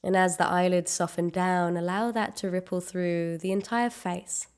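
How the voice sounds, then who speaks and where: A woman leading a guided meditation, giving gentle, unhurried instructions with long pauses. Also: lowish voice, steady tone, clean tone.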